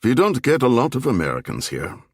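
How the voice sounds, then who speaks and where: A man's voice: mid-range voice, wildly swooping pitch, fairly quick, slightly rough, dry recording, studio quality, pitched above the performer's own voice, heavily acted. A man voicing a mild, curious middle-aged German bartender with a German accent, in brief audiobook dialogue. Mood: wry, friendly.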